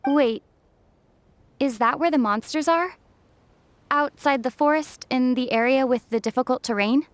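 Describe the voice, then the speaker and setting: An earnest young adventurer girl in a fantasy game. Her voice is high, hesitant and questioning, captured very dry. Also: measured pace, no room sound. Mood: tentative, curious.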